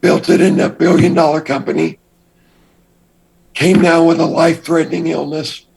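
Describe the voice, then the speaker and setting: An older man with a raspy voice, a guest telling his past story in a measured, deliberate way. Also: a little high, little pitch movement, a little mellow, a little hiss or hum, low-quality recording. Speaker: interview guest. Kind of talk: recounting his past in an interview.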